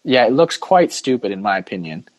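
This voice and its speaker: A man's voice: on the low side, sing-song, brisk. A man hosting a casual sports podcast, talking bluntly and informally and putting questions to the others. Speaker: podcast host.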